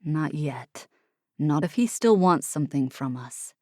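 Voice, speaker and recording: A woman voicing a young male soldier with a low, subdued voice, frustrated and self-blaming in an audiobook. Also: lively intonation, measured pace, darkish tone, no room sound, clean studio sound. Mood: grim. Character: young male soldier, guilt-ridden and restless.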